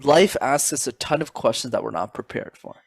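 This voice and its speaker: A man guesting on a podcast as a public speaking coach, talking in an animated, motivational way and often calling the host by name. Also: mid-range voice, a little fast, creaky, no echo, studio quality. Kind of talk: casual interview chat.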